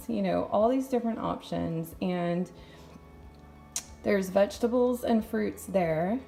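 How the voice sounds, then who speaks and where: Texan woman vlogger, chatty and rambling, warm mellow voice, phone-recorded in a home kitchen. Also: medium pitch, gravelly. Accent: light Texan. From Texas. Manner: chatty and rambling, laughs often. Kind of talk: casual cooking demo chat.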